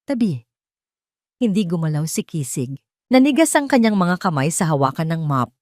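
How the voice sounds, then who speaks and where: A woman's voice: low voice, sing-song, fairly quick, a little mellow, no echo, clean studio sound. A woman narrating a dramatic audio story and reading every part.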